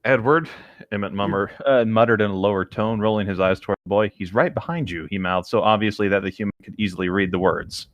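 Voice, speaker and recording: A man's voice: fairly low pitch, fast, no room sound, muffled recording. A young man chatting casually and reading lines aloud from a book. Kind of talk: casual chat and read-aloud.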